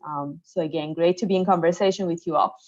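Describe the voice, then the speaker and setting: Low-voiced woman panelist with many fillers. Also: fairly even intonation, fast talker, highs cut off. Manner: many "um" and "uh" fillers, warm and thankful. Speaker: panelist, legal advocacy director. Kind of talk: self-introduction on a panel.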